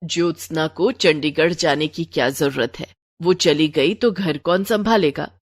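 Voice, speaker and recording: A woman voicing a stern, practical older mother-in-law in an animated moral story. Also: low voice, a little slow, no room sound, clean studio sound. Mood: firm.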